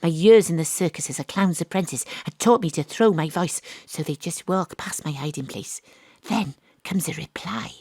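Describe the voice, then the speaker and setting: An actress playing a quirky, hard-boiled private detective, narrating her case in a theatrical, deadpan noir style with a breathy voice. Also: fairly low pitch, normal speed, dry recording. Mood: confiding, suspenseful. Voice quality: husky, breathy. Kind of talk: first-person audio drama narration. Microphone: close studio mic, home studio.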